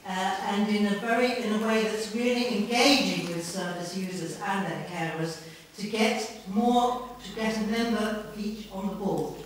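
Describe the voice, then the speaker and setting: A woman's voice: medium pitch, measured pace. A woman speaking formally at a public council meeting. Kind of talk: formal meeting questioning and chairing. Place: large wood-panelled council meeting room. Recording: echoey, noisy meeting room, distant mic.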